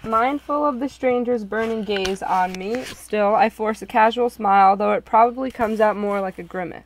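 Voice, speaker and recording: A woman reading first-person visual novel narration in an expressive, scripted storytelling style. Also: medium pitch, moderate pace, a little hiss or hum, low-quality recording.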